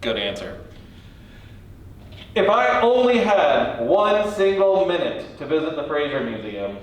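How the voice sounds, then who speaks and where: A man's voice: fairly high pitch, sing-song, unhurried. A man hosting a light, playful interview, asking questions in an informal, quirky, jokey way. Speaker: interview host. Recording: very echoey room, distant mic.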